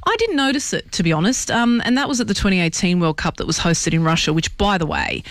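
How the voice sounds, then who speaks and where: Lively woman talking football. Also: fast, dry recording. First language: Croatian. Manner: enthusiastic, fluent, animated pitch lifts. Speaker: football pundit guest. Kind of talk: casual radio sports chat.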